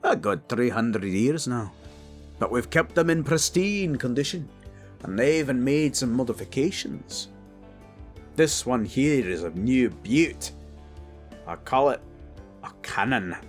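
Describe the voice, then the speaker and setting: Man acting a hearty, slow-talking old dwarf king. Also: mid-range voice, animated, soft background music, lightly acted. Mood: boastful. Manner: boastful, commanding, folksy. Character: stout old dwarf king, proud, battle-hardened, hearty. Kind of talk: fantasy audio drama acting.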